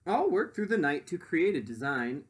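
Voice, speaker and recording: Storybook boy inventor, played by a man: boastful, eager showman, lively swooping delivery, slightly raised pitch. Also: normal speed. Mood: confident. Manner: excited, showman-like presenting with swooping emphasis.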